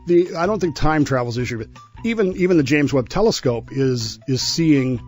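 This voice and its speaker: A man's voice: mid-range voice, expressive, a little fast, a little mellow, soft background music. A man explaining history in an emphatic, conversational way, as a guest expert on a radio show. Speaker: former nuclear submarine serviceman.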